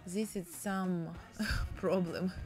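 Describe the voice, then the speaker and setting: A woman's voice: lowish voice, steady tone, very slow, darkish tone, soft background music. A woman with a Russian accent chatting in broken English while demonstrating a painting technique. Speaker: painting tutorial host.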